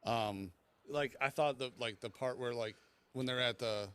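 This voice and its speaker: A man in casual podcast chat, speaking in a halting way and often repeating his phrases. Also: mid-range voice, fairly bright tone, clear, resonant tone. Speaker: podcast panelist.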